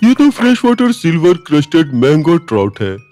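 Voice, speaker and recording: Smug, mellow, lively cartoon cat. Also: male voice, fairly high pitch, normal speed, soft background music. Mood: confident. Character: lazy, smug, wisecracking male cartoon cat. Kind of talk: Hindi cartoon dub.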